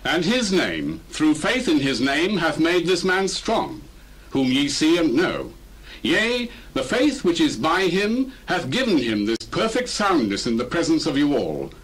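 A man's voice: on the high side, sing-song, measured pace, a bit bright, husky, exaggerated voice, poor audio. A male actor playing a bold, fervent apostle preaching solemnly and emphatically in a dramatized Bible reading. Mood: earnest rebuke. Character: Peter, fervent apostle preaching boldly to crowds.

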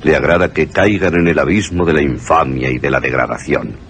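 Very deep, stern guardian. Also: male voice, fairly quick, a bit bright, a little hiss or hum. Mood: grave, disapproving. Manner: grave, formal, moralizing. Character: stern male guardian reading a warning letter. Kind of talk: Spanish radio drama acting.

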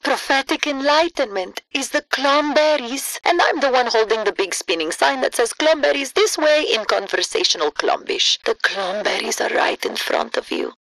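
A woman voicing a cryptic, sardonic oracle spirit in a video game, measured and mysterious with dry snark. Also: high-pitched, lively intonation, fairly bright tone, no echo, poor audio. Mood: dryly snarky.

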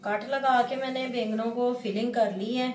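A woman's voice: a little high, steady tone, moderate pace. A woman from Pakistan with a Pakistani Urdu accent, chatting casually as she explains her home cooking step by step. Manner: homely step-by-step talk, often saying "jo hai na". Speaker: village vlogger. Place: small room at home, sitting on a floor mat. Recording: echoey room with clear background noise.

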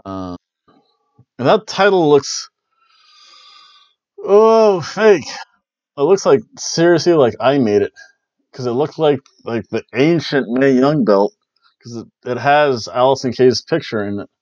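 A middle-aged man rambles through a wrestling recap from his home room, tossing in jokes and playful exclamations. His voice sits at an ordinary middle pitch. The headset audio is dull and lo-fi, with a dry, close sound. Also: expressive, a little slow, pauses often, a little mellow, no room sound. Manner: chatty, jokey, loose play-by-play with playful exclamations. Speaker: wrestling review host. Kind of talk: casual review chat. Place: small home room with bookshelves, indoors. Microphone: headset mic on a webcam call.

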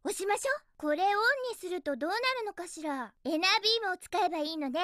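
A woman playing a perky, feisty young female hedgehog heroine in a Japanese video game, girlish, energetic and bossy. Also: very high voice, fast talker, no room sound, studio quality. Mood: upbeat, determined.

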